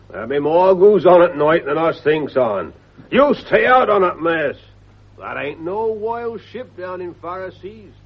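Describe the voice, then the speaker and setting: Slow, high-voiced old English countryman. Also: slightly rough, full character voice. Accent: English rural. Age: older. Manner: slow, grumbling rustic talk. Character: superstitious, stubborn old country father, warning of spirits. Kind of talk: 1950s British radio drama acting. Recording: muffled vintage radio recording.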